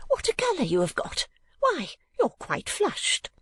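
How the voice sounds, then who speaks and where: A woman's voice: medium pitch, wildly swooping pitch, normal speed, slightly rough, no echo, clean studio sound, pitched above the performer's own voice, full character voice. A woman voicing a garrulous, gushing, easily affronted middle-aged mother in an audiobook, emphatic and exclamatory. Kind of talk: audiobook character acting.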